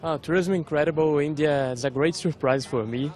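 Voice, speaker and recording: A man from Brazil is a tourist being interviewed. He gives brief, halting answers. His voice is slightly high for a man. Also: darkish tone.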